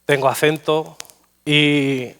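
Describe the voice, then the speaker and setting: A man with a Madrid Spanish accent gives a church sermon, his voice crisp and smooth and pitched a touch high. He mixes personal stories and jokes at his own expense into the preaching. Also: flat delivery, takes it slowly. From Spain. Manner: warm storytelling, self-deprecating humor. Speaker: guest preacher. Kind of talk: anecdotal sermon with prayer. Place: church sanctuary.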